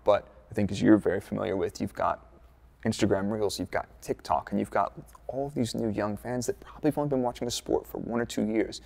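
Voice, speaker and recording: A man's voice: on the low side, creaky. An American man from Dallas, a former racing engineer turned content creator, answering interview questions in a chatty, laid-back way with joking asides. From United States, Dallas.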